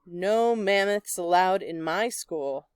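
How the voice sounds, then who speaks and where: A woman's voice: lowish voice, slow, smooth, dry recording. Woman playing a sour, stern male schoolteacher. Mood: stern disdain. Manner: stern, disdainful. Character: sour, frowning male schoolteacher, disapproving of mammoths.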